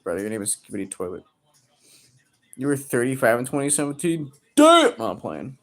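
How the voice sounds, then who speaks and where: Man, early 30s, casual livestream chat, crude jokes, loud bursts. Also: medium pitch, very animated, fairly quick. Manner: profane, joking, loud outbursts.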